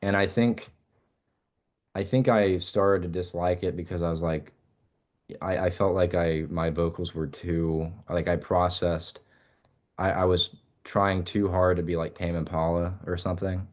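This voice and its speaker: A man's voice: deep voice, level tone, a little slow. A young man, a musician guest, chatting casually in an interview and rambling with many fillers and restarts.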